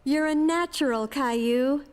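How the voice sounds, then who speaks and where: A woman's voice: high-pitched, measured pace, music in the background. A woman playing an encouraging schoolteacher who directs a children's play in a cartoon. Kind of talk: scripted children's cartoon acting. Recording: long hall reverb.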